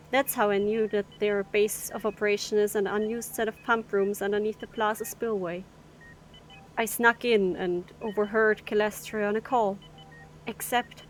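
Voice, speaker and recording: A frank female cargo captain, played by an actress in an audio drama, with a mid-pitched voice over faint background noise. She sounds matter-of-fact and a little rueful, like someone who knows rough trade. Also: lightly acted, low-quality recording.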